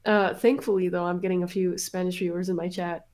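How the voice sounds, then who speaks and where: A woman's voice: lowish voice, flat delivery, a little fast, a little mellow. A woman from Canada, born in Colombia, chatting casually as an interview guest. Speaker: interview guest, streamer and singer. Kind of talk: casual chat.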